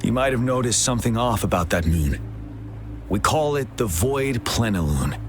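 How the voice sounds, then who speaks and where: An actor voicing a stern, dutiful young male general who speaks in a measured, earnest and formal way in a game cutscene. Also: medium pitch, lively intonation, background noise, close to an everyday voice. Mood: earnest, grave. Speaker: general leading the defence. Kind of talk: voiced video game cutscene. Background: cinematic music.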